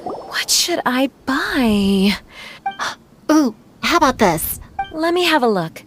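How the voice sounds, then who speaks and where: A gentle young shopkeeper from a video game, polite and high-voiced. Faint music plays behind her. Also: very animated, slow, full character voice. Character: gentle young wife who keeps a flower shop. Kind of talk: scripted video game dialogue.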